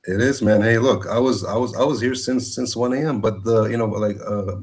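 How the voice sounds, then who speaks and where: A man from Morocco telling his personal story as a guest, casually and with a halting, hesitant delivery. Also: fairly low pitch, darkish tone.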